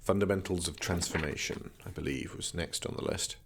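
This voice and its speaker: A man's voice: deep voice, steady tone, fairly quick, fairly bright tone, a little hiss or hum. A man acting in an audio drama as an erudite, teasing young prince who studies magic, speaking in a dry, formal, pedantic way. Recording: music behind the voice.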